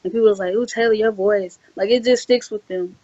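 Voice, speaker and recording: A young woman from the American South, a voice actress answering in an interview, rambling and saying "like" a lot, sometimes monotone. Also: mid-range voice, a little fast, no echo, lo-fi sound. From Southern United States. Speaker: guest voice actress.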